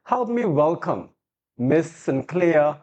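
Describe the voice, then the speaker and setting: A talk-show host, speaking at a medium pitch. The host sounds earnest, opening with a heartfelt prayer and warmly affirming the guest between questions. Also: sing-song, slow, dull audio.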